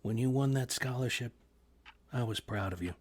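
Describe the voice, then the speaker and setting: An actor reading a gentle, good-natured working man in his sixties for a radio play, talking hesitantly with many fillers. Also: medium pitch, moderate pace, slightly rough, lightly acted. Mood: wistful. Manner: hesitant, rambling, full of fillers.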